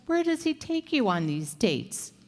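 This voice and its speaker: A woman acts as a motherly older landlady who fusses and coaxes in earnest. Her fairly high voice rises and falls a lot, in old radio comedy style, with long hall reverb. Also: sing-song, unhurried. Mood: concerned, fussing. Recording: echoey hall, live stage reading.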